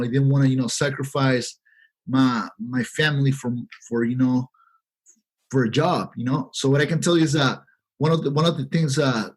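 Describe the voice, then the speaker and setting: A man's voice: mid-range voice, breathy edge, rough recording. A man in his thirties from Peru, a podcast guest telling his story in a rambling, earnest way with many fillers. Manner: rambling, heavy "you know" fillers, earnest. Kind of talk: casual interview talk.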